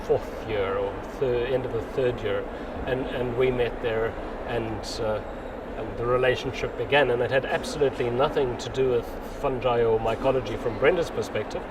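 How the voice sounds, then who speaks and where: A man's voice: mid-range voice, fairly even intonation. Man, distant, noisy outdoors. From South Africa. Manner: rambling, restarts often, unhurried. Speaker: interviewee, mycologist. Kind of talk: casual interview chat. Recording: distant mic, loud outdoor noise.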